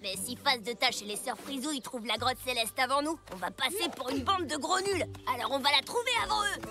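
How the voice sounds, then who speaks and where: A woman's voice: high voice, expressive, brisk. A woman voicing a bossy prehistoric kid who leads a little gang in a French cartoon, cocky and assertive. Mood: competitive. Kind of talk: animated cartoon dialogue in French. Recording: music behind the voice.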